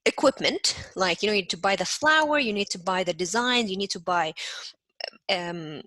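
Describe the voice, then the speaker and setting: A woman's voice: mid-range voice, animated, normal speed, bright, forceful tone, no room sound. A woman from Egypt, giving a casual lecture as an instructor. She explains with everyday examples and keeps checking in with her listeners. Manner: talks to listeners as "you" and checks in with "right?"; frequent fillers and restarts. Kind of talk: lecture to a class.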